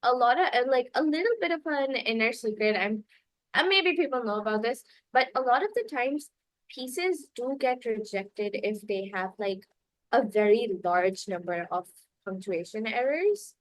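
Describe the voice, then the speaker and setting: A woman's voice: on the high side, animated, moderate pace, no echo, rough recording. A young woman whose first language is Urdu, hosting a podcast in casual, chatty talk full of laughs and filler words. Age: young adult. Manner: chatty, laughs often, many filler likes. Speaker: podcast host.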